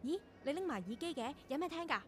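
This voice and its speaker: An actress in a Cantonese radio drama playing a naive, eager young radio intern, earnest and chatty, with a Hong Kong accent. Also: female voice, sits high, animated, fast talker, slight background noise. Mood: bright, curious.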